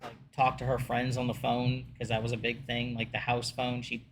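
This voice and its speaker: A man's voice: completely flat delivery, normal speed, slight background noise, poor audio. A man co-hosting a podcast, telling stories in a rambling, casual way with many fillers. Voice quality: deep voice like his father's. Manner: rambling, chatty storytelling with fillers. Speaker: podcast co-host. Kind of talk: casual chat.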